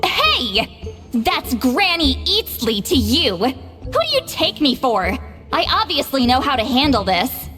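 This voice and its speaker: Haughty young-sounding granny shaman, game character, high voice, caring scold, long reverb, music behind. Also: sing-song, takes it slowly, full character voice. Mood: indignant, scolding. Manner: haughty, stammering when flustered, sighing. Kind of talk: anime-style game voice acting.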